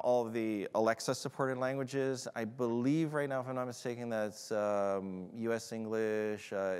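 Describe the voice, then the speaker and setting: Lowish smooth guy fielding q&a at a conference, lots of ums, big hall reverb. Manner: hesitant, many fillers and restarts, casual. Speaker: presenter answering audience questions.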